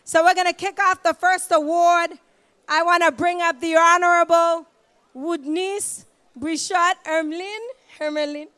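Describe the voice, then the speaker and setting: Female emcee from Boston, Haitian Creole first language, very high and upbeat. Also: steady tone, a little slow, fairly bright tone. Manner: upbeat, rallies the room with "give it up". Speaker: master of ceremonies. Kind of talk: gala hosting. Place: hotel banquet hall.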